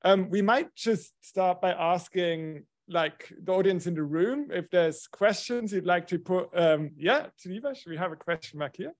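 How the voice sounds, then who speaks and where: High-voiced man chairing a panel. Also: normal speed, few pauses. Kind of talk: moderating a panel.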